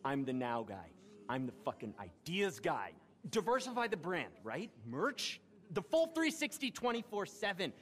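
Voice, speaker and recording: A cocky young American rich kid from a crime family, pitching like a salesman in a game cutscene. His pitch jumps around freely and his voice rings in a big reverberant space. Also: wildly swooping pitch, normal speed, a bit bright. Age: young adult. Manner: cocky salesman patter, corporate buzzwords, swearing. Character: brash, entitled young crime heir with big plans. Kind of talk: video game cutscene acting.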